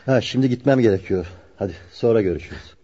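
An actor playing a friendly married carpenter in a radio drama, with a plain, amiable manner. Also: male voice, fairly low pitch, quick pace, dark tone, slightly rough. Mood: brisk, friendly. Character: friendly married carpenter, the hero's helpful mate. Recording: dull lo-fi radio recording.

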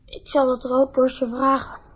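An actress playing a dreamy, trusting young boy in a radio play, speaking in an earnest, halting way. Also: sits high, completely flat delivery, husky, dry recording. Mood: subdued. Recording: slightly dull radio play recording.

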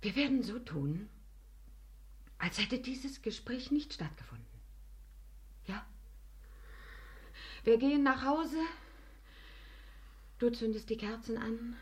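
An actress playing an aging, spurned companion in a German radio play, imploring and weary, with an emotional, halting, often hushed delivery. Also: female voice, fairly high pitch, animated. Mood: coaxing.

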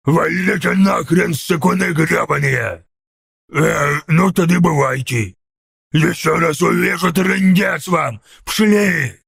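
A riled-up orc bully snarls crudely through a fantasy audiobook. The voice is a harsh growl, full of gravel and rasp, recorded dry. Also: male voice, on the high side, measured pace, fairly bright tone, no echo, full character voice. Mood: furious. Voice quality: growling, raspy. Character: irritable, snarling male orc mechanic, easily riled bully.

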